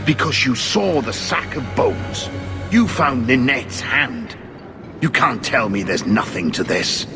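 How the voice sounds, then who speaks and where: An actor playing an earnest, dogged investigator in a fantasy video game, speaking formally and insistently. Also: male voice, medium pitch, animated, slow. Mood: pleading. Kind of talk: scripted video game acting. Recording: game audio over music.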